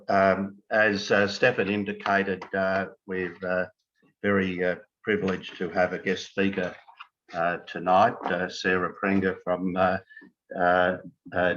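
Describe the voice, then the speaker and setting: A man with a very low voice opens a meeting, halting often with "uh" between words. The audio sounds dull and lo-fi. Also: little pitch movement, fairly bright tone, slight background noise. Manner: very halting, many "uh"s. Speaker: meeting chair.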